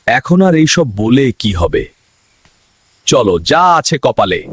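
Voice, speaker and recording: A man's voice: medium pitch, very animated, slow, husky, no room sound, exaggerated voice. A man voicing a stubborn village man in a horror cartoon, reassuring and dismissive of fears. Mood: resigned.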